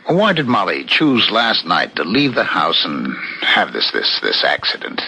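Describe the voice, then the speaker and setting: An actor playing a reasonable, loyal friend with a deliberate, questioning manner in a 1970s radio mystery. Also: male voice, sits low, big pitch swings, vocal fry, bright, forceful tone, slight background noise, heavily acted, rough recording. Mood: sympathetic, probing. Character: reasonable, probing husband, loyal friend of a suspect.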